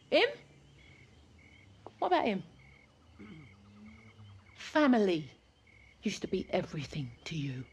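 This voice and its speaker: A middle-aged actress playing an exasperated, stern mother who scolds reproachfully in a video game drama. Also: sits high. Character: exasperated, stern mother of a young football star. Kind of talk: naturalistic video game cutscene acting.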